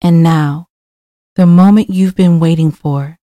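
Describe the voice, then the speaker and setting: A woman's voice: lowish voice, steady tone, a little slow, warm tone, no echo, soft background music. A woman reading scripted promo narration as a podcast host and announcer.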